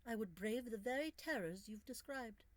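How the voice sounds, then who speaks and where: A woman's voice: a little high, animated, moderate pace, no echo, pitched above the performer's own voice, close to an everyday voice. A woman voicing a bold, melancholy young Victorian Englishwoman in a storybook, candid and earnest, with formal, emphatic phrasing.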